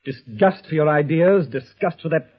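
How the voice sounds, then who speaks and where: An actor playing a defiant, scornful English resistance leader in a 1940s radio drama, speaking in a sardonic, dramatic way. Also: male voice, a little high, normal speed, husky. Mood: bitter disgust. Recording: muffled vintage radio recording.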